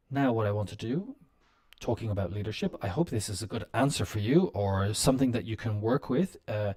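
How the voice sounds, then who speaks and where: A man teaching business English on a live stream, explaining slowly with many "um"s and "uh"s. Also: mid-range voice, a little mellow. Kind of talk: live-stream language lesson.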